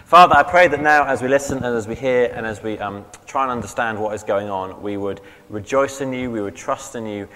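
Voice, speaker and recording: Chatty vicar with a strained throat, in a reverberant hall. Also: male voice, medium pitch, brisk, hardly any pauses, sharp tone. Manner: conversational, chatty, self-correcting. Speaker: vicar preaching. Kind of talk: sermon. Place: church hall.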